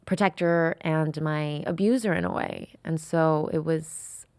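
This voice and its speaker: A woman's voice: sits low, measured pace, darkish tone, no echo, clean studio sound. A woman in her thirties from France, speaking openly and reflectively as a podcast guest, with frequent fillers. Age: 30s. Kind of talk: candid interview conversation.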